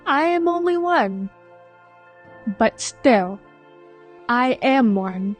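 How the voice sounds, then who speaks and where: A young woman from the Philippines, a pageant contestant, giving an earnest speech and a measured scripted voice-over. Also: a little high, lively intonation, faint music behind.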